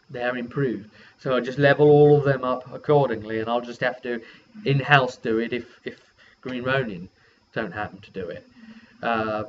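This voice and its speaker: A man speaking as a game master, casually rambling through a recap of a session and acting out players' reactions as he goes. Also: medium pitch. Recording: muffled lo-fi with background hiss.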